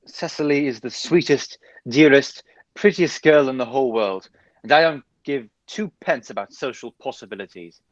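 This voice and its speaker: A man playing a lovestruck, flippant young gentleman nephew in a radio comedy, speaking with emphasis. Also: mid-range voice, moderate pace, dry recording, dull audio, close to an everyday voice. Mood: adoring, defiant. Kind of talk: stage comedy as amateur radio play.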